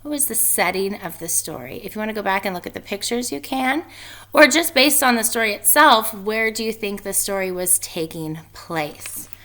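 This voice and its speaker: A woman's voice: mid-range voice, lively intonation, normal speed, bright, forceful tone. A woman reading a children's storybook aloud and talking informally to pupils like a teacher.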